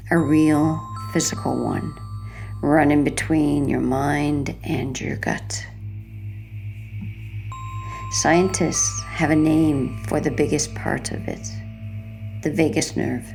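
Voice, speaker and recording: A woman narrating a sleep hypnosis session in a soothing, hushed, gentle manner, letting her phrases linger like guided relaxation. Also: sits low, vocal fry.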